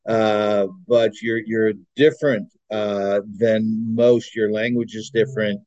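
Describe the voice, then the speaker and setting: A man's voice: on the low side, little pitch movement, takes it slowly, a little mellow, dry recording, muffled recording. A man chatting casually as a guest on a podcast. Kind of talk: casual conversational interview.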